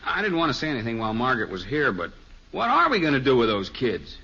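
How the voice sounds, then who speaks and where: An actor playing a dry, joking husband and family friend in an old-time radio sitcom, casual in manner. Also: male voice, mid-range voice, wildly swooping pitch, fast talker, gravelly, pushed and bright, exaggerated voice. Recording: muffled, noisy old radio recording.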